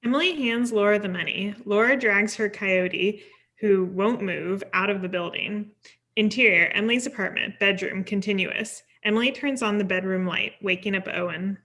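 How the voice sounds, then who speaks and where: A woman's voice: medium pitch, normal speed, a bit bright. A woman giving scripted narration, reading out stage directions for a table read.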